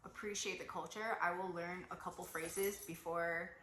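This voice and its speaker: Bright-voiced chatty young woman vlogger. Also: fairly low pitch, fairly even intonation, normal speed, a little hiss or hum. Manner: chatty, lots of "like" and "you know". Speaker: travel vlogger. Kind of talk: casual advice chat to camera. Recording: echoey room.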